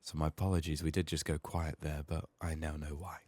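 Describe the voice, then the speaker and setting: A man with a sick, strained voice murmuring soft-spoken ASMR gaming commentary, sometimes near a whisper, thinking aloud close to the mic. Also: little pitch movement, fairly quick. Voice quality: husky, gravelly, very low. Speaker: game streamer making a playthrough.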